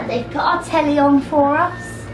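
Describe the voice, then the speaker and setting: A young woman chatting excitedly in a casual travel vlog, talking to a handheld camera. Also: sits high, a little slow. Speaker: vlogger. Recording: busy crowd and background noise.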